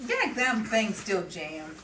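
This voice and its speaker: A woman's voice: mid-range voice, huge pitch swings, takes it slowly. A woman chatting casually and playfully during a rehearsal, breaking into giggles as she talks. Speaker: performer and producer in an amateur audio drama. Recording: roomy, distant mic, loud noise and crowd din.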